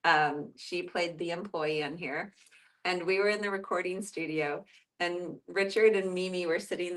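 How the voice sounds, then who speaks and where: A woman's voice: fairly low pitch, a bit bright, distant mic. Casual woman hosting a group discussion. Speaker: podcast host.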